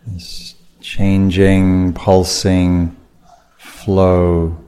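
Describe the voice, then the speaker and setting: A man's low, soft-edged voice guides a meditation. He speaks very slowly, in measured phrases separated by long pauses. The tone is dark and relaxed, that of a teacher leading practice.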